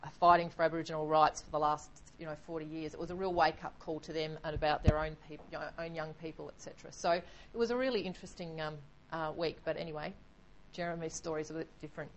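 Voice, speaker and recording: A woman's voice: low-pitched, steady tone, fast, highs cut off, a little hiss or hum. A woman explaining a project casually and chattily, with many fillers.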